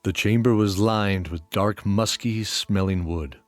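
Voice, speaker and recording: A man's voice: fairly low pitch, unhurried, dry recording, faint music behind. A man giving scripted narration of a fantasy story as its narrator.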